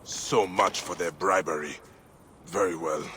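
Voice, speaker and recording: A grim Egyptian warrior from a video game, with a deep, low voice. He speaks solemnly and deliberately, a vengeful protector with a kind side. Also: fairly even intonation, a bit bright, a little hiss or hum. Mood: determined. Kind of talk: video game cutscene acting.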